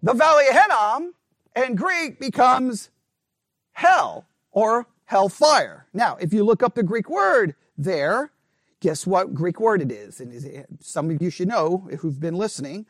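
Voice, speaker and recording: A man with a very high speaking voice leads a Bible study in a casual, emphatic way. He keeps asking his class questions and often restarts mid-sentence. The recording is dry and close, with no room sound. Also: very animated, a little slow, fairly bright tone, dry recording. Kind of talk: conversational Bible study lecture.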